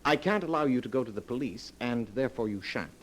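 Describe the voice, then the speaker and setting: An actor playing a smooth, polite, calculating murderer in a theatrical 1940s radio drama style. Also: male voice, medium pitch, expressive, quick pace, close to an everyday voice. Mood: coldly menacing. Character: suave, cold-blooded scheming male murderer. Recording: noisy 1940s radio broadcast recording.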